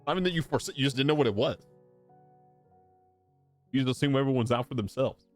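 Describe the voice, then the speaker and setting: A man's voice: medium pitch, fast talker. Irreverent male game streamer over music. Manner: irreverent, slangy, teasing. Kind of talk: casual joking live playthrough commentary.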